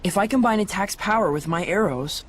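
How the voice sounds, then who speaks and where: A man's voice: high-pitched, fairly quick, a bit bright. A man playing a clever, dryly teasing young companion in a video game, with a wry manner. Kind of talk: English video game dub acting. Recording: music clearly behind voice.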